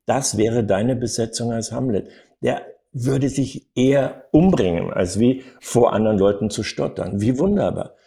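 An older man telling anecdotes as an interview guest, in an animated storytelling manner with frequent little tag words. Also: mid-range voice, normal speed, gravelly. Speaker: acting coach and interview guest.

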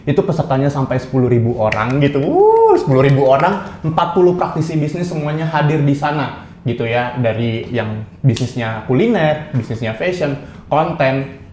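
A man with an Indonesian accent hosting a casual interview, chatty and quick to laugh. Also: medium pitch, very animated, a little mellow, roomy sound, slight background noise. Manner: chatty, lots of fillers, laughs often. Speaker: interview host, company CEO.

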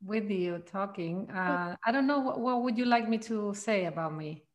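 A woman's voice: mid-range voice, moderate pace. A woman, a guest on an interview who searches for her words and restarts her sentences. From Chile. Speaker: guest, trauma practitioner. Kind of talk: casual interview answers.

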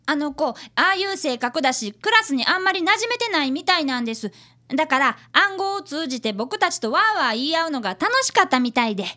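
A woman's voice: sits high, sing-song, a little fast, bright, forceful tone, exaggerated voice. A woman playing an earnest, polite grade-school boy apprentice detective with a Kansai accent in a radio drama, both narrating and in dialogue. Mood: earnest reporting. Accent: Kansai Japanese.

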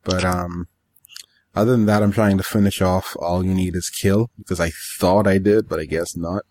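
A man's voice: deep voice, steady tone, moderate pace, darkish tone. A man chatting casually as a podcast co-host, offhand in manner and slipping into acting out quoted remarks. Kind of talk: casual chat about games and manga.

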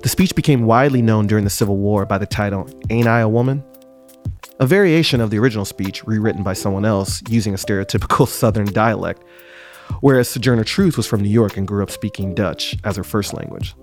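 A man's voice: fairly low pitch, fast, soft background music. Man narrating a history segment. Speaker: podcast host.

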